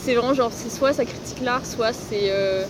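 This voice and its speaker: A woman's voice: a little high, normal speed. Chatty young woman outdoors in city noise. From Italy. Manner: chatty, laughs often, fills with "genre". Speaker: guest. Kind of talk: casual chat. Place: outdoors in a city on a hot day.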